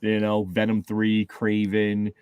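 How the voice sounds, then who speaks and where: A man's voice: fairly low pitch, slow pace, smooth, no room sound. Rambling man telling stories, dry sound. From Rhode Island. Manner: animated storyteller, rambling. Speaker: co-host. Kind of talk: casual chat.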